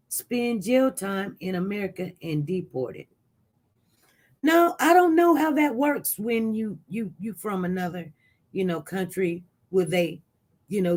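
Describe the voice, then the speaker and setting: A live-stream news host in casual commentary, reading viewer chat aloud and reacting with sudden jumps in pitch and loudness, rambling with frequent restarts. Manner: animated, voice jumps up loud when reacting to chat.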